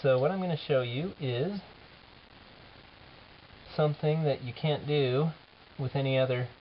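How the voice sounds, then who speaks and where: A man giving an informal software demo as the app's developer, talking casually and haltingly as he thinks aloud. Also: mid-range voice, slight background noise. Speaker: app developer presenting a demo. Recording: dull lo-fi camera audio, music behind.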